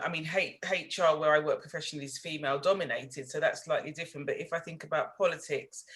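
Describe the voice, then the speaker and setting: A woman's voice: sits low, fairly bright tone. A woman, speaking as a guest in a panel discussion at a live event and answering reflectively with frequent fillers. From England. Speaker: panel guest, former civic mayor.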